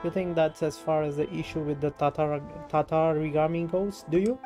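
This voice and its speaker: An Indian man voicing a haughty, lecturing shrine maiden from a video game, impatient and sharp-tongued. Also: fairly high pitch, fairly even intonation, brisk, over music, natural voice. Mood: scolding.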